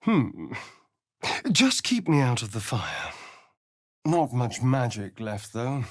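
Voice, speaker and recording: An actor playing a sardonic, foppish vampire spawn rogue in a video game, with an arch, theatrical, disdainful drawl. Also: male voice, mid-range voice, huge pitch swings, slow pace, dry recording, studio quality, full character voice. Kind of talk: video game voice acting, companion dialogue and narration.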